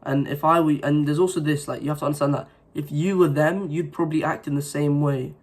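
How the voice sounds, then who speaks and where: A man's voice: a little high, fairly even intonation, fairly quick. A young man with a sore throat from recent illness, giving an earnest, rambling motivational talk to his viewers with many fillers and restarts. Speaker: self-improvement vlogger. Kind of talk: casual motivational talk to camera.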